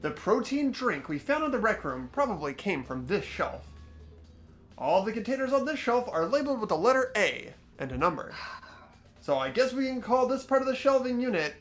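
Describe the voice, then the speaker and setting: A man's voice: very high-pitched, sing-song, normal speed, fairly bright tone, soft background music, heavily acted. An amateur actor voicing an earnest teenage boy protagonist in a visual novel game, thinking aloud as he investigates. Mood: curious, concerned. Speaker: host of the playthrough. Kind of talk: fan-dubbed visual novel game narration.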